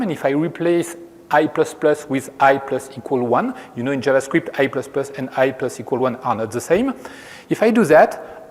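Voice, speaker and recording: Man, French accent, slightly high voice, patient technical lecture, long hall reverb. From France. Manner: measured, explanatory, frequent restarts. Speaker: researcher giving a conference talk.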